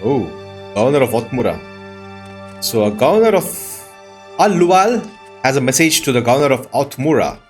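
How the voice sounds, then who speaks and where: Male gamer host, mid-pitched, casual running commentary, thinking aloud over clear game music. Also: sing-song, moderate pace, pauses often. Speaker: gamer hosting a playthrough.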